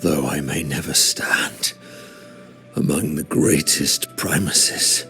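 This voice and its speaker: Deep, slow, gravelly doomed zealot, grandiose and defiant. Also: male voice, fairly even intonation, pushed and bright, soft background music, heavily acted. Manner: solemn, grandiose declarations. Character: doomed proud male zealot leader, defiant. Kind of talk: video game voice acting.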